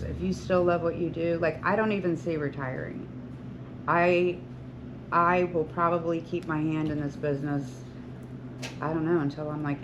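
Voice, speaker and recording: A woman in her sixties, a hairstylist and salon owner, talking earnestly to beauty students in an encouraging, rambling way with many restarts. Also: deep voice. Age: 60s. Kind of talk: talk to beauty school students. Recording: lo-fi with loud background noise.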